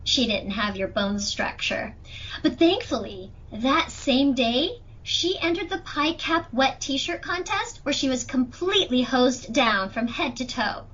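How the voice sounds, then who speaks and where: A woman's voice: high voice, animated, a little slow, a bit bright, husky, full character voice. A woman acting a young female defense attorney in an amateur courtroom audio drama, with pointed, theatrical questioning. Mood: chatty. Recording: dull lo-fi audio.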